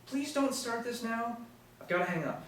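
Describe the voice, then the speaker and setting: A man's voice: very high-pitched, animated, normal speed, fairly bright tone. Man reading as confident boyfriend, very echoey room. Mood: hushed, placating. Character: smooth, self-confident businessman boyfriend in his early thirties. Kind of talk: script read aloud at a table reading. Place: small indoor room, seated group. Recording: very echoey room, distant mic, faint noise.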